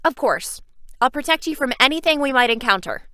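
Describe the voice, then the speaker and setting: A woman playing a gallant royal protector, high and bright, courtly, dramatic, animated fan-dub acting. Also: fairly quick, no room sound, clean studio sound. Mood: courtly, devoted. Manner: formal, courtly, theatrical phrasing.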